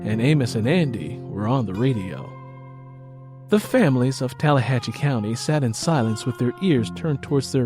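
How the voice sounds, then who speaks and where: A man narrating a story as scripted audiobook prose. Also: medium pitch.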